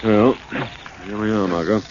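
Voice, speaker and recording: A man playing a composed, thoughtful gentleman sleuth in a 1940s radio drama. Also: on the low side, fairly even intonation, normal speed, close to an everyday voice. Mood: deliberate. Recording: muffled, hissy old radio recording.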